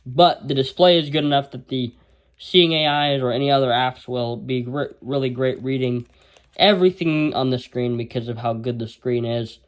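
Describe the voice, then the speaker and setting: A man's voice: mid-range voice, fairly even intonation, moderate pace. A man casually reviewing a handheld radio, rambling with lots of fillers and restarts.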